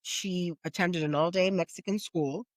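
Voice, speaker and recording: Low-voiced American woman, close dry sound. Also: normal speed, no echo. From United States. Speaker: podcast guest. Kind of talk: conversational interview.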